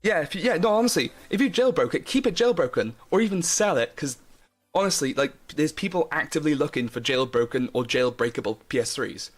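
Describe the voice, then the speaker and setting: A man's voice: fairly high pitch, animated, fairly quick, soft background music. A young man chatting casually on a live stream, rambling with lots of fillers and restarts while he answers chat. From Wales.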